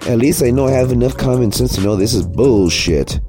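A man's voice: mid-range voice, lively intonation, fairly quick, dark tone, faint music behind. A man giving a casual, opinionated monologue in a blunt, sarcastic manner with plenty of swearing. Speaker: commentary vlogger.

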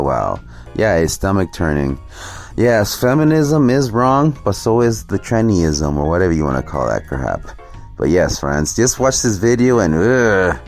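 Deep-voiced ranting man vlogger. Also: darkish tone. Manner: ranting, scornful, repetitive fillers. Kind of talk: casual chat.

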